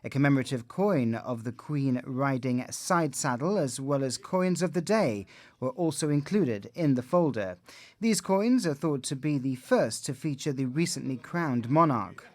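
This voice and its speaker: A man's voice: mid-range voice, lively intonation, normal speed, no echo. A man narrating a scripted television news report as the reporter.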